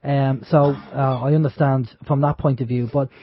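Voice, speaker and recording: A man's voice: mid-range voice, fairly even intonation, moderate pace, dry recording. An Irish man hosting an internet radio talk show, chatting casually and rambling with plenty of fillers and restarts. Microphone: studio microphone. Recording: dull, lo-fi internet radio audio.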